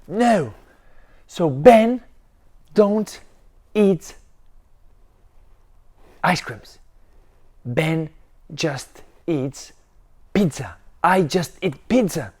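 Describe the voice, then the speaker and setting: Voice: medium pitch, expressive, extremely slow, pauses often, slightly rough, exaggerated voice. A storyteller doing an angry pizza-mad boy. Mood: angry, stubborn. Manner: shouting, insistent refusals. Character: angry stubborn boy who only eats pizza.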